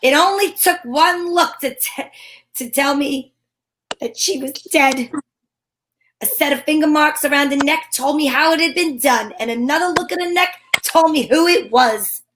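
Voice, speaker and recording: Woman acting a plucky wisecracking girl detective, high voice, old radio show style, noisy recording. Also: normal speed, fairly bright tone. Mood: dramatic, suspenseful. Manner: dramatic storytelling. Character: plucky wisecracking female private eye Candy. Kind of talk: old-time radio detective acting, first-person narration.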